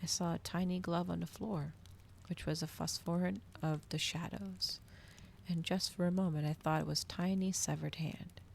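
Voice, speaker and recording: A low-pitched woman reads fiction aloud in an ASMR style. Her delivery is hushed and close, with a crackly fry running through it, over a faint bed of noise. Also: fairly even intonation, normal speed. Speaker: streamer hosting a live reading. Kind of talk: ASMR storybook reading.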